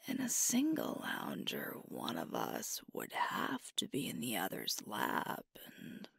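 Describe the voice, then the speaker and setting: A woman playing an affectionate, teasing werewolf girlfriend in an ASMR roleplay, speaking softly and intimately close to the microphone. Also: very deep voice, wildly swooping pitch, no room sound, full character voice. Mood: playful teasing. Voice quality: soft, breathy, whispery. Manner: slow, tender, playful, with pauses.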